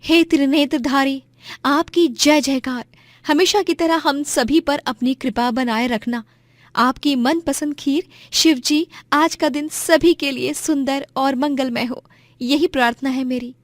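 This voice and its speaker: A woman's voice: high voice, steady tone, a little slow, no room sound, pitched above the performer's own voice. A woman voicing a pious, brave and righteous village food-stall owner, fervent and emphatic, in a cartoon moral story. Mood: devout.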